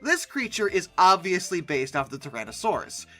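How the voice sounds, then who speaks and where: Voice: medium pitch, very animated, normal speed, fairly bright tone, faint music behind. A person giving humorous reaction commentary in a sarcastic, exasperated manner. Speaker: host of a commentary video.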